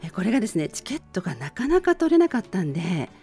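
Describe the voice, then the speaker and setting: A woman hosting a gentle bedtime radio chat, speaking politely and conversationally straight to her listeners. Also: medium pitch, very animated, quick pace, faint music behind. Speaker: radio show host and band vocalist.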